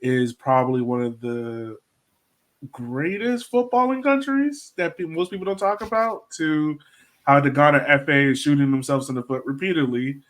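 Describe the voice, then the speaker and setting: A man's voice: a little high, lively intonation, moderate pace. A man hosting a podcast interview in a casual, enthusiastic, slangy way, talking like he is chatting with a friend. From Jamaica. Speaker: podcast host interviewing a guest.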